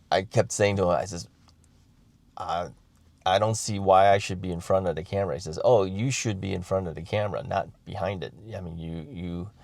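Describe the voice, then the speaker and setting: A man's voice: low-pitched, gravelly, a little mellow, no echo. A middle-aged man from the Chicago area chatting casually to camera, rambling with many fillers, restarts and chuckles. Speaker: vlogger.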